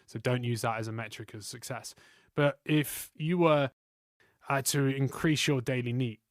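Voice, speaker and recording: A man's voice: medium pitch, dry recording, studio quality. A man who works as a personal trainer, co-hosting a casual podcast, chatty and teasing with frequent tag questions.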